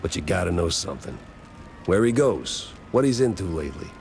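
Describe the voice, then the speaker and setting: A man's voice: sits low, big pitch swings, brisk, vocal fry, darkish tone, slight background noise, full character voice. A man playing a world-weary, wisecracking cartoon mouse private detective with a dry, sardonic delivery in a hardboiled noir game. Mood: serious, gruff.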